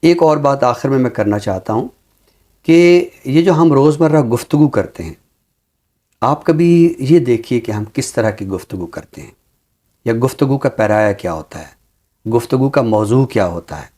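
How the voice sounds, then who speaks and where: A man speaking in a measured, deliberate and earnest way, explaining his views as a talk show commentator with a literary vocabulary. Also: mid-range voice, lively intonation, warm tone.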